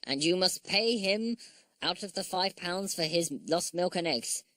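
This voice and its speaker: A woman voicing a pompous village policeman in a storybook, stern and blustering but soft-hearted. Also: on the low side, measured pace, dry recording. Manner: important, blustering.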